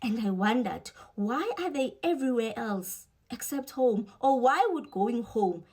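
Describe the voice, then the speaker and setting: A woman's voice: a little high, expressive, a little slow, a little mellow, breathy edge. Opinionated South African woman vlogging. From South Africa, Eastern Cape. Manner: chatty, opinionated, wry asides. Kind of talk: casual opinion monologue.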